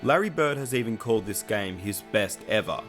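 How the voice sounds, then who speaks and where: A man's voice: lowish voice, lively intonation, fairly quick, fairly bright tone, soft background music. Man narrating a sports documentary. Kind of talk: scripted video narration.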